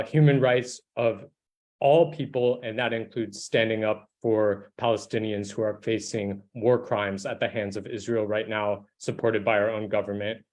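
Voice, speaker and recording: A man's voice: fairly low pitch, a little mellow. Earnest man giving public comment. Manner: earnest, emphatic. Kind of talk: prepared public comment, advocacy.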